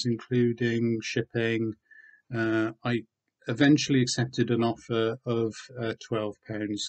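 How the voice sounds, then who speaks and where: A man in his sixties from Wales, talking casually and hesitantly in a low-key, understated way as he presents a home vlog. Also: a little mellow. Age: 60s. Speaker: online seller and video host. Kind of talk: casual vlog chat, showing items. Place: small room at home with bookshelves.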